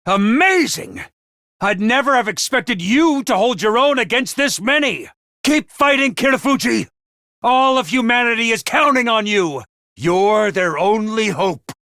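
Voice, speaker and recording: A man voicing an excitable, theatrical mascot commander of a military school in a video game, speaking emphatically. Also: very high-pitched, unhurried, no echo, clean studio sound, exaggerated voice. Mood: excited cheering. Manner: theatrical, emphatic, swinging from grave to bombastic. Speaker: commander addressing students. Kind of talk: animated video game voice acting.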